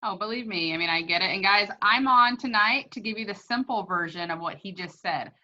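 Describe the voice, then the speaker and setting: A woman's voice: medium pitch, brisk, bright, forceful tone, muffled recording. A woman promoting products in a chatty, gushing, casual testimonial style.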